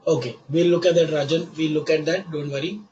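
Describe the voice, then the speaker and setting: A man teaching a live online class while he has a cold, patient and repeating each step slowly. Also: a little high, steady tone, a little mellow, dull audio. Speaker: online course instructor.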